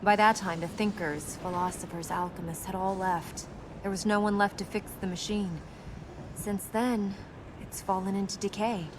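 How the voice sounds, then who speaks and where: An actress playing a serious, guarded young princess in a video game, explaining earnestly and answering with dry wit. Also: female voice, medium pitch, fairly even intonation, normal speed, noisy background, close to an everyday voice.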